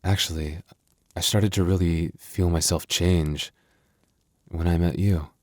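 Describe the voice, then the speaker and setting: A man voicing a gentle young male werewolf boyfriend in an intimate ASMR roleplay, speaking softly and earnestly with hesitant stammers. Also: sits low, steady tone, measured pace, dry recording, studio quality. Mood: regretful, vulnerable.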